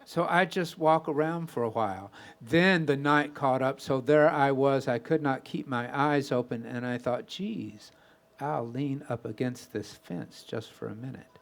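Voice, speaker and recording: A man's voice: mid-range voice, unhurried, natural voice. A man telling a comic story as a weary, rambling deer with a folksy, conversational manner. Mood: drowsy, dreamy. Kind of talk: first-person comic storytelling.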